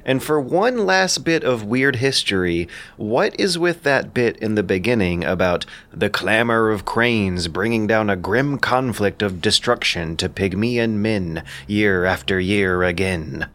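A man narrating scripted explanatory commentary in a measured, lightly informal way. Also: on the low side, expressive, a bit bright.

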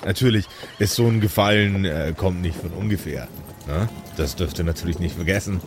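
A man's voice: sits low, fairly quick, over music, natural voice. A man voicing a smug, shady male cleric who talks in casual, insinuating slang. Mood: smug, menacing.

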